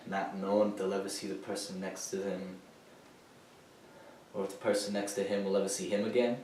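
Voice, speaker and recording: A young man acting a troubled, grieving war veteran in a theatrical monologue, halting and emphatic, swinging between hushed and loud delivery. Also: lowish voice, a little hiss or hum, natural voice. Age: teenager or young adult. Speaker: high school dramatic interpretation competitor. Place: echoey indoor room, recorded from far off. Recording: echoey room, distant microphone.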